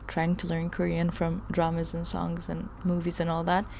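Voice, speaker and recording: A woman's voice: fairly low pitch, creaky, darkish tone, a little hiss or hum. A woman hosting a live study stream, chatting casually with viewers and reading their comments aloud in a rambling, chatty way. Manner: rambling, chatty, laughs often, trails off.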